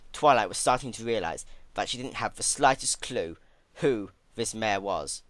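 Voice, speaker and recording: A man delivers a dramatic story reading with theatrical intensity. His voice sits fairly low but moves widely in pitch, with a forceful edge to the narration. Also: no room sound. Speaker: fan fiction narrator.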